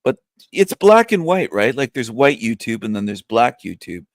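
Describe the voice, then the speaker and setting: A man's voice: medium pitch, very animated, moderate pace, dry recording. An older man hosting a casual livestream, rambling and joking with a strong voice that sounds younger than his age. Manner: rambling, joking, laughs often. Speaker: livestream host. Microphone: webcam setup, camera off.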